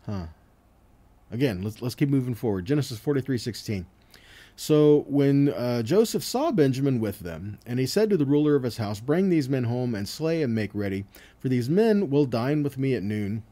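A man's voice: mid-range voice, sing-song, moderate pace, warm tone. A man giving a Bible teaching, reading verses and explaining them earnestly, often checking in with his listeners. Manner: earnest, explanatory, with check-in tags like "okay?" and "right?".

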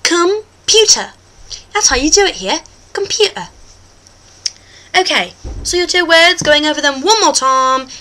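A woman playing a boastful, goofy British male show host with an exaggerated British accent, presenting a comic vlog in a hammy, excitable, rambling way. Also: high-pitched, brisk, hardly any pauses. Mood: mock instructive.

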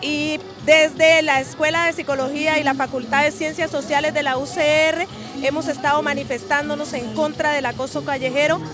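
A middle-aged woman, a psychology professor, explaining calmly in a street interview. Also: sits high, music in the background. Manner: measured, explanatory. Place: outdoor street march. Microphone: handheld interviewer mic.

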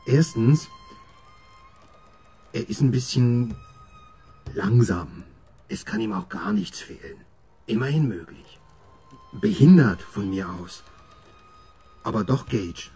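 A man's voice: medium pitch, animated, slow, pauses often, mellow, breathy edge, faint music behind, heavily acted. An actor playing a brooding, obsessive middle-aged father and doctor, intense and introspective, in a radio horror drama. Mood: agitated, obsessive. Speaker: narrator and lead character. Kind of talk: radio horror drama, dialogue and first-person narration.

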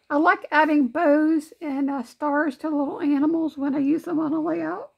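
A woman's voice: high-pitched, steady tone, unhurried, gravelly, muffled recording. A woman hosting a crafting channel, chatty and laughing often, with her voice worn from a long day. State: losing her voice. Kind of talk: casual vlog talk. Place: home craft room.

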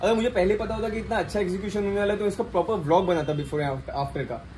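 A man's voice: high voice, quick pace, a little mellow, a little hiss or hum. A young man in his twenties hosting a playful, joking house tour with a phone held at arm's length indoors. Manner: playful, joking, mixes Hindi and English. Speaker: vlogger host. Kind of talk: casual house-tour chat. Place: apartment rooms indoors.

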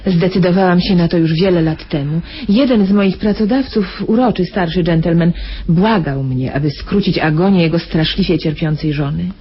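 A woman's voice: lowish voice, normal speed, close to an everyday voice. An actress playing a cold, coy female contract killer who poses as merciful, in a radio crime drama. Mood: cool, self-justifying. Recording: dull radio recording with faint noise.

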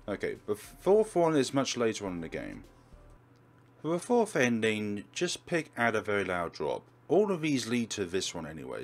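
A man hosting a let's play, reading game text aloud and commentating with wry, theatrical asides. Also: lowish voice. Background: game music.

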